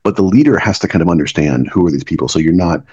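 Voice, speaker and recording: A man's voice: low-pitched, expressive, rapid-fire, a little mellow, slightly rough, dull audio. A middle-aged man, a business leader, talking earnestly as a podcast guest and often restarting his words. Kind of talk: reflective podcast conversation.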